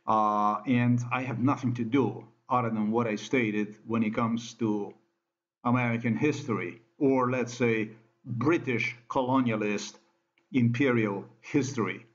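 A man with a Slovenian accent talks over a screen recording in English, his second language. He speaks slowly, halting and restarting often with plenty of fillers. The sound is dull and lo-fi. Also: medium pitch, fairly even intonation.

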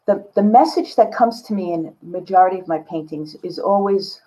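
A woman's voice: lowish voice, darkish tone. An older woman artist talking candidly and casually as an interview guest over a video call. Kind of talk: casual reflective interview talk. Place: book-lined home studio room, indoors. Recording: slightly dull video-call audio.

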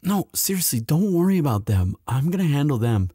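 A man's voice: fairly high pitch, very animated, fairly quick, mellow, slightly rough, dry recording, studio quality. A man playing a sweet, protective young demon university student in an intimate audio roleplay addressed to the listener. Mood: protective concern. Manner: hesitant stammering when shy, firm when angry.

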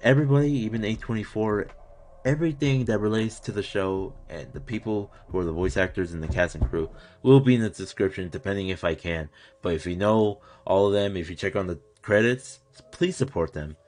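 A man in his twenties with a low-pitched voice hosts a casual reaction video from a small room at home. He talks off the cuff in a rambling, excited fan's way, with some mild swearing. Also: a little fast. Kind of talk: casual unscripted reaction commentary.

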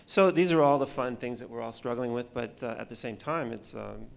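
Middle-aged man, film director on a panel; gravelly, halting, restarts often, speaks into a table mic. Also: mid-range voice. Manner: halting, many fillers and restarts. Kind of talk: conference panel talk. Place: conference stage, seated at panel table. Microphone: table mic on stand.

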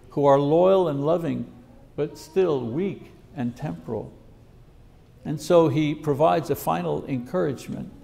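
A man in his sixties preaching a sermon at a podium, reading scripture and acting out prayers in a measured teaching manner. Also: a little high, vocal fry, a little mellow. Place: lecture hall at a podium. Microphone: podium microphone.

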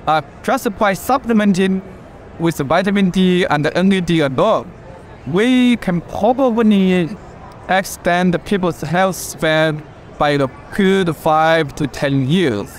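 An older man explaining health science in a measured, informative way at an expo booth. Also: high-pitched, slight background noise. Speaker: interviewee. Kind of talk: explanatory expert talk.

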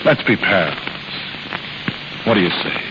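An actor playing a gruff, slangy carnival barker and protective father, in 1930s radio drama style. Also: lowish voice, big pitch swings, slow pace. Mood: earnest, coaxing. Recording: scratchy, dull 1930s radio broadcast.

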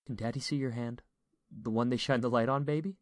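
A man's voice: mid-range voice, steady tone, a little fast, no room sound, clean studio sound, voice pushed up, lightly acted. A man voicing a tender, protective father and husband in an audiobook story, earnest and anxious. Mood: anxious, gentle. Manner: earnest, emphatic.

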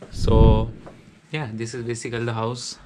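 A man of middle pitch narrates a tour of a small student apartment, listing each feature in a matter-of-fact way. His voice stays almost monotone throughout. A faint hum of room ambience sits behind the camera-mic sound. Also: moderate pace. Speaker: vlogger giving a house tour. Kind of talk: casual walkthrough narration.

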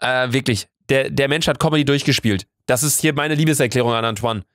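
A young man with a cold, excitedly playing a meme quiz on stream and reading the answers aloud, talking into a close studio mic. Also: mid-range voice, a little fast, no echo. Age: young adult. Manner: excitable, reads answers aloud, swears casually. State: has a cold. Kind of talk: casual gaming commentary. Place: indoor room at a desk. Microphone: studio mic near the face. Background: background music.

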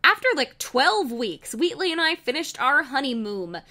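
A woman's voice: high-pitched, sing-song, normal speed, pushed and bright. A woman narrating amateur fan fiction as a dramatic comic reading, in an earnest, melodramatic manner.

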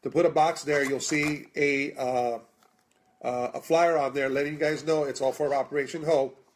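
A man in his forties with an American accent, making a casual, unscripted charity appeal in a halting, earnest way, repeating words for emphasis. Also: a little high, fairly even intonation, a little hiss or hum. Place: small indoor room. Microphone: phone at arm's length.

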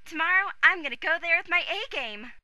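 A woman playing an earnest, excitable teenage girl in an amateur anime-style fandub. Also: very high voice, a little fast, noisy background. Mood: excited, determined. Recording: amateur fandub with loud background music.

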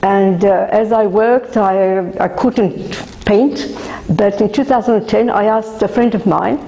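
An older woman, an artist talking casually at a gallery opening, halting with many fillers and restarts. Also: mid-range voice, mellow, echoey room, poor audio. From Australia. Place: indoor gallery room.

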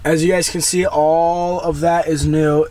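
A young man vlogs into a camera held at arm's length, his voice slightly high and raspy from a cold. He talks in an excited, rambling way, full of fillers. Faint outdoor hum sits behind him. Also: a little slow, rough recording. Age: young adult. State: hoarse from a cold. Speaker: vlogger. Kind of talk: casual vlog talk to camera. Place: outdoors by a house and inside an auto upholstery shop. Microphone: handheld camera at arm's length.

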